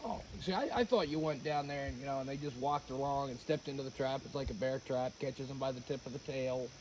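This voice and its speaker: A man's voice: mid-range voice, a little fast, a little mellow, low-quality recording. A man chatting casually in a joking, wisecracking way while helping with a job. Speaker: helper on the project. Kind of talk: casual chat. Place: outdoors in a rural spot. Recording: clear outdoor noise with insects.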